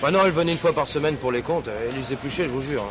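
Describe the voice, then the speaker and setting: Man as a fast-talking young employee. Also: medium pitch, noisy background, close to an everyday voice. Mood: candid. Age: 20s. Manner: deferential, candid. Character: eager, talkative young shop employee, twenty-eight. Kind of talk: French radio crime drama acting.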